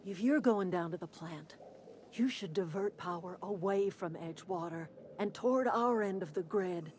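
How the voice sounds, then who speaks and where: A woman's voice: lowish voice, moderate pace, darkish tone, a little hiss or hum, natural voice. An actress playing a proud, motherly elderly woman who leads a camp in a video game, plain-spoken and folksy. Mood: bitter, defiant. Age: older. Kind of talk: video game character dialogue. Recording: music behind the voice.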